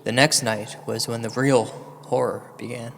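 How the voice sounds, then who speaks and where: Man, mid-pitched voice, unhurried spooky-comic storytelling, deadpan, dramatic pauses, cavernous reverb. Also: gravelly. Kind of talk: slow comedic ghost-story narration, part verse recital. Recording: long hall reverb over music. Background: music.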